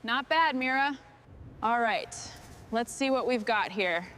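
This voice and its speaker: A woman's voice: on the high side, unhurried, fairly bright tone. A woman playing a determined heavy truck restorer, giving terse, scripted work chatter to herself and her crew. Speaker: mechanic. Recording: noisy, with vehicle and machinery sounds.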